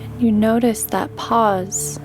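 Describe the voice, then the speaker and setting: Slow, even-voiced woman guiding meditation. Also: fairly high pitch. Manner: calm, gentle, unhurried phrasing with pauses. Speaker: meditation guide, yoga teacher. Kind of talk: guided meditation, slow soothing instruction. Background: background music.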